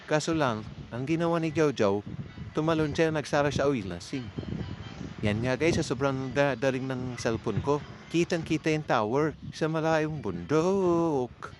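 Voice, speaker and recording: A man's voice: a little high, unhurried. A man with a Filipino accent narrating a vlog in a casual, chatty way, speaking straight to his viewers. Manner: informal, chatty, addresses viewers. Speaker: vlogger. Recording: clearly noisy amateur recording.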